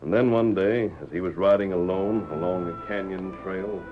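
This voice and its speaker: Deep american guy acting as masked cowboy hero, old radio show, firm and in charge, kinda dull lo-fi sound. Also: over loud music, lightly acted. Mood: grave, storytelling. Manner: firm, measured, authoritative. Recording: muffled vintage radio drama.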